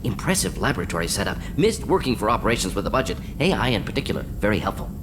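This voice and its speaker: An actor playing a brisk, eager alien scientist in a video game, talking in clipped, telegraphic, analytical bursts. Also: male voice, lowish voice, very animated, background noise. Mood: brisk, clinical. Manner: clipped telegraphic sentences, rapid-fire, analytical. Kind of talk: video game character dialogue. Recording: game audio over humming ambience.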